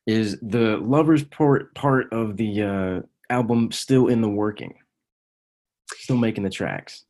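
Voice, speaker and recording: A man hosting a casual interview, friendly and quick to affirm his guest. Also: on the low side, darkish tone. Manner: friendly, with frequent backchannel affirmations. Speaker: interview host.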